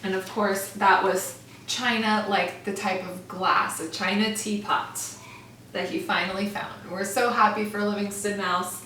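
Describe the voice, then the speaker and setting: Slow, expressive woman reading a story aloud. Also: mid-range voice, a little hiss or hum. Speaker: first grade teacher. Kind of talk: reading a picture book aloud. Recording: echoey room, far from mic.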